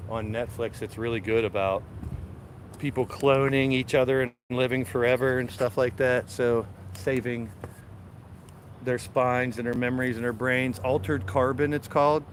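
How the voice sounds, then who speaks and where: A man's voice: mid-range voice, steady tone, moderate pace, slight background noise. Laid-back stuffed-up man streaming from his garden. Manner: rambling, laid-back, talks to chat while walking. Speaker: live stream host. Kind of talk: casual live-stream chat. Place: outdoors in a backyard garden. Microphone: phone camera held while walking.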